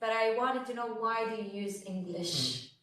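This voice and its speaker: Halting woman moderator, roomy hall. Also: fairly high pitch, lively intonation, a bit bright, far from the mic. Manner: reflective, halting, many fillers. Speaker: panel moderator. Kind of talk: panel discussion. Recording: echoey hall from off-mic.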